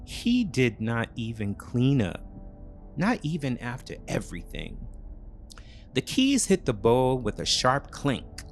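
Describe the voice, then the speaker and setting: A man narrating a scripted thriller story in short, deliberate, measured phrases. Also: mid-range voice, creaky.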